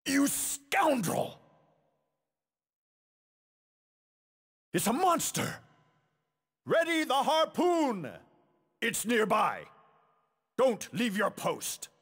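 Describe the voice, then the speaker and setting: Gravelly, haughty fighting-game warrior. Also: male voice, extremely high pitch, big pitch swings, slow pace, pauses often, a bit bright, in a large hall, exaggerated voice. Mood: alarmed, shouting. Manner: haughty, declamatory, dramatic. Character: proud, menacing adult male warrior, disdainful of weaker foes. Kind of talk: video game fighting voice clips, theatrical.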